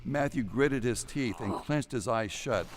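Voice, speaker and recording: A man's voice: mid-range voice, a little slow, background noise. A man narrating an audio drama, reading a scripted story in a dramatic storytelling style. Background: music.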